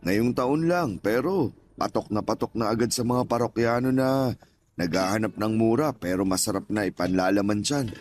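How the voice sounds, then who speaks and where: A man's voice: on the low side, moderate pace, darkish tone, a little hiss or hum, close to an everyday voice. An actor playing a kindly, talkative older father and former street vendor in a Tagalog radio drama, plainspoken and folksy. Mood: chatty, contented.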